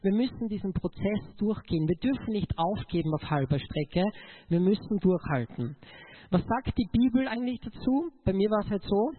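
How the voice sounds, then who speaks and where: High-pitched Austrian man preaching, phone-like sound. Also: animated, moderate pace, breathy edge. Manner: emotional, emphatic, addresses listeners directly. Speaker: motivational speaker giving a testimony.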